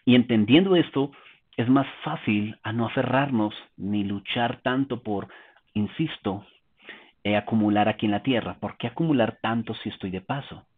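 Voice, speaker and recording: A man preaching a teaching sermon, explaining Bible passages in a calm way and asking rhetorical questions. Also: on the low side, normal speed, breathy edge. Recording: tinny phone-like sound.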